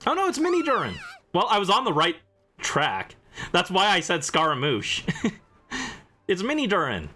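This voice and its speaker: A man livestreaming a video game, giving casual commentary and halting explanations as he reacts aloud. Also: high-pitched, wildly swooping pitch, bright, forceful tone.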